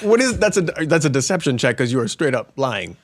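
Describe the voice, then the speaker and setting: Lively, teasing man as game master. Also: medium pitch, brisk. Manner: teasing, laughing. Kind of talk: running a comedy tabletop game.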